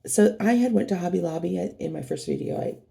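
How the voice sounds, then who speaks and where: A middle-aged woman with a cold talks her way through a craft unboxing, her voice hoarse and heavily gravelly. She rambles in a friendly, meandering way, full of ums and restarts. Her tone sits low and mellow. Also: fast talker. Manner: rambling, chatty, many fillers and restarts. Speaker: craft channel host. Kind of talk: casual unboxing vlog.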